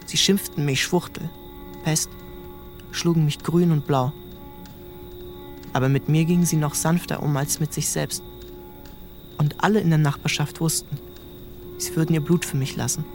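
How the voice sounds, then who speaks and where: A fairly high-voiced actor as a young man recalls his childhood as the youngest brother. He narrates a scripted drama reflectively, with faint music underneath. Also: steady tone, normal speed, long pauses, close to an everyday voice.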